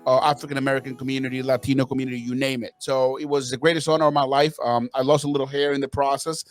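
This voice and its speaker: A man's voice: mid-range voice, flat delivery, racing. Guy in his thirties from venezuela, podcast guest, pumped up and emphatic. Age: 30s. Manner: emphatic, upbeat, motivational. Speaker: podcast guest, marketing consultant. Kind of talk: casual interview talk.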